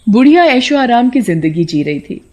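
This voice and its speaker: A woman's voice: fairly high pitch, sing-song, moderate pace, a little mellow. A woman narrator reading a scripted cartoon folktale in a storytelling style.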